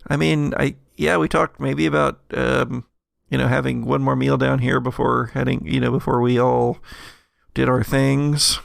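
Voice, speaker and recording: A man narrates a tabletop roleplaying game as its game master. His mid-pitched voice is very creaky and gravelly. He tells it in a halting, conversational way, recorded close and dry. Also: little pitch movement, dry recording.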